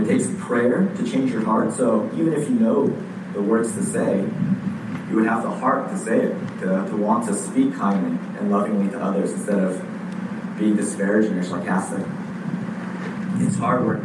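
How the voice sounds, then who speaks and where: A man preaching a sermon in a conversational way, often pausing with fillers and restarting his sentences. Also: on the low side. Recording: echoey room heard from a distance with background noise.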